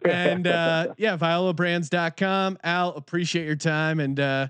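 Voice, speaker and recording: A man's voice: a little high, steady tone, a little slow, fairly bright tone, clean tone, no echo. A man hosting a casual podcast chat, upbeat and laughing easily. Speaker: podcast host.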